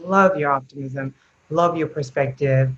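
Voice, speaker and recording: A podcast host who praises the guest warmly and asks long, winding questions. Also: medium pitch, a little slow, dull audio. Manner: effusive, affirming, rambling questions. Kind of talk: podcast interview.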